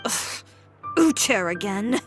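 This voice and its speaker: An actress playing a blunt, no-nonsense young fashion designer in an anime game, speaking directly and curtly. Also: female voice, mid-range voice, sing-song, slow pace, soft background music. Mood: exasperated. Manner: direct, curt.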